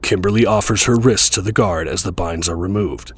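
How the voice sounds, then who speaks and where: A man with a husky voice narrating a scripted audio drama. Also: low voice, brisk.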